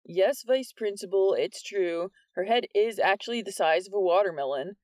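A woman's voice: mid-range voice, normal speed, darkish tone, no echo, natural voice. A woman voicing a cheeky, smart-mouthed teenage boy with a mocking way of talking.

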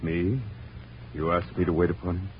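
An actor playing a stiff, formal French doctor with solemn delivery in a 1940s radio drama. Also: male voice, low-pitched, flat delivery, normal speed, close to an everyday voice. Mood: humbled. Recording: noisy, phone-like old radio recording.

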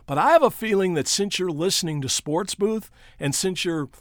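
A man's voice: high-pitched, normal speed, no echo, studio quality. A man hosting an instructional podcast in an emphatic coaching style, posing rhetorical questions and speaking straight to the listener. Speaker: podcast host and sports play-by-play broadcaster. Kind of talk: instructional podcast monologue addressed to aspiring broadcasters.

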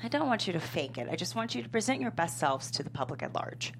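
A woman's voice: fairly low pitch, big pitch swings, quick pace. A woman reading the part of a bossy, disapproving publicist at a comedy table read. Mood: stern, dry. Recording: faint noise and room ambience.

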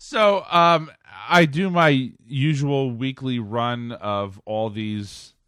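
A man's voice: medium pitch, a bit bright, clear, resonant tone, dry recording, clean studio sound. Easygoing man hosting a gaming podcast. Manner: easygoing, laughs often, quick agreeing interjections. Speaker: podcast co-host. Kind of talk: casual gaming podcast chat.

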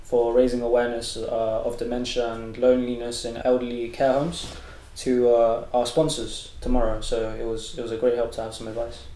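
Flat, mellow teenage boy. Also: medium pitch, moderate pace, a little hiss or hum. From West London. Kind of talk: casual interview answers. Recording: echoey room.

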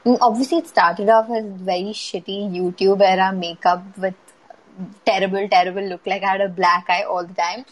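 A woman's voice: mid-range voice, steady tone, fairly quick, low-quality recording. A woman in casual conversation, talking candidly about writing and makeup, swearing freely and acting out quotes. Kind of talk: casual chat.

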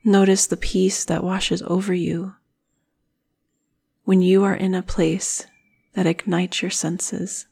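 A woman guiding a meditation in a soothing, breathy manner, with phrases often trailing off into a whisper. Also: lowish voice, level tone, takes it slowly, long pauses, darkish tone. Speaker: meditation guide. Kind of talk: guided meditation narration.

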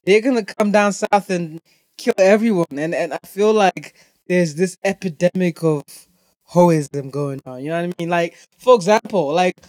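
A man's voice: high voice, moderate pace, a little mellow, no room sound. This is a young man from Zimbabwe hosting his own podcast. He talks in a casual, opinionated rant full of slang and swearing, and he drives points home by repeating them. The sound is dry, with very little room around his voice. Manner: emphatic, repetitive, heavy swearing. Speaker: podcast host.

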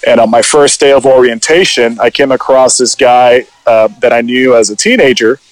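A man in his forties, originally from Puerto Rico, talks as a podcast guest. He tells his story in a loose, meandering way, full of "you know" fillers. His voice sits at an ordinary middle pitch. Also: steady tone, moderate pace, no echo, poor audio. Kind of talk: casual storytelling chat.

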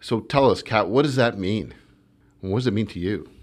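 A man's voice: lowish voice, normal speed, husky. A man hosting a podcast, introducing a guest in a friendly, loose and self-deprecating way. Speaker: podcast host. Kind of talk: casual podcast interview.

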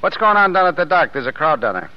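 An actor playing a gruff, cocky working-class American tough guy in a blunt, slangy 1930s film style. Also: a little high, very animated, fast talker. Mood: gruff, scornful. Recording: dull old film soundtrack, music behind.

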